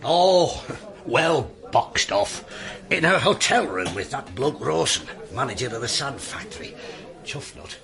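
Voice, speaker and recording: Animated, slangy British police inspector. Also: male voice, medium pitch, unhurried, creaky, crowd noise. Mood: brooding, animated. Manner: colloquial, slangy. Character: dogged, wry male police inspector. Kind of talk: British radio drama acting.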